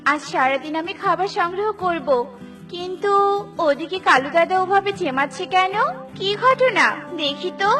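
খুব চড়া গলার ছোট্ট পাখি. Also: female voice, very high-pitched, lively intonation, moderate pace. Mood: chirpy, concerned. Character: small young female tuni bird, chirpy, caring and curious. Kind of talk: Bengali children's cartoon dialogue. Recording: clear music behind the voice. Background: children's music.

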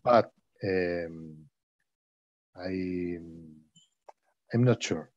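A man's voice: low voice, drawn-out words, no echo. A man whose first language is Spanish, hesitantly speaking English in an online class, repeating words as he goes. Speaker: student in an English conversation class. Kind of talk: halting English in a video-call class. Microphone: video call. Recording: dull, lo-fi call audio.

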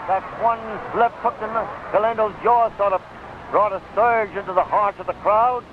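A man's voice: sits high, steady tone, normal speed. An American man giving live blow-by-blow boxing commentary in the style of 1930s radio. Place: ringside at an outdoor stadium. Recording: tinny, noisy 1939 radio broadcast recording.

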